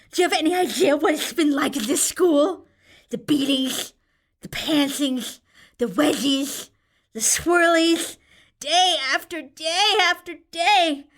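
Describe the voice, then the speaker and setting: A woman's voice: very high voice, heavily acted. A woman voicing a pompous, melodramatic, whiny teenage necromancer villain in an audio drama.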